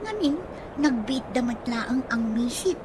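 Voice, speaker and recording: A woman's voice: high voice, lively intonation, unhurried. A woman playing a perky, cheeky young niece in a radio comedy drama, speaking with an Ilocano accent. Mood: cheeky, coaxing. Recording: noisy audio with birds.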